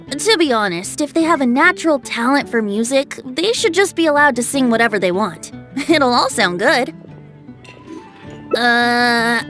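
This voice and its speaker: A woman's voice: a little high, fairly bright tone. An actress playing a playful, carefree young male bard in a video game, with a theatrical, lilting, breezy delivery.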